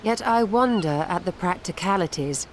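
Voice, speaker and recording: An actress playing a sharp-minded woman mage in a video game, calm and analytical. Her voice is lowish and even, with faint background noise. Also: normal speed, lightly acted, low-quality recording. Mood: composed. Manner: composed, analytical, dry.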